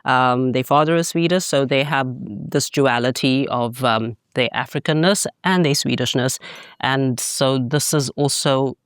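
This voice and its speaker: A middle-aged woman from Zimbabwe, introducing herself as a podcast guest in an unhurried way with frequent ums. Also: very deep voice, smooth, no echo, studio quality. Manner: warm, hesitant, many ums. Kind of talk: conversational self-introduction.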